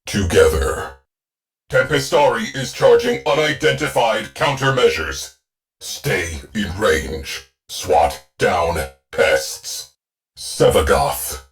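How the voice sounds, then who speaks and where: A man voicing a terse male ship AI in a video game, speaking in clipped, deadpan military phrases. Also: low-pitched, full character voice.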